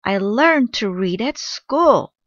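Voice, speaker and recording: A woman's voice: mid-range voice, lively intonation, slow, no room sound, muffled recording, pitched above the performer's own voice. A woman voicing a sweet young witch girl from a storybook, speaking in an earnest, pleading way. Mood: earnest confession.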